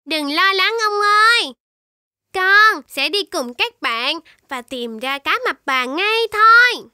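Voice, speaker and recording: Childlike baby shark, very high voice, slow sing-song delivery, eager cartoon hero. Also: female voice, expressive, bright, forceful tone, no room sound, studio quality, full character voice. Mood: determined, cheerful. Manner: exclamatory, sing-song, addresses young viewers directly.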